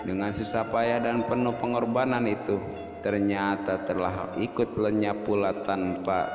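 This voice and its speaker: A man narrating a scripted folk tale as a storyteller, in a measured way with dramatic pauses and drawn-out key words. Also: lowish voice, little pitch movement. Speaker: storyteller and host. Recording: echoey long reverb, music behind. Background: music.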